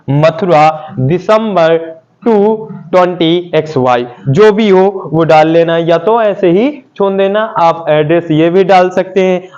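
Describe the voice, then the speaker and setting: A man's voice: high-pitched, darkish tone. A man with a North Indian accent giving an explanatory lesson as a teacher, repeating points and asking questions that he answers himself. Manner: repetitive, asks and answers own rhetorical questions. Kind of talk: explanatory lesson, English phrases glossed in Hindi. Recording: dull lo-fi audio.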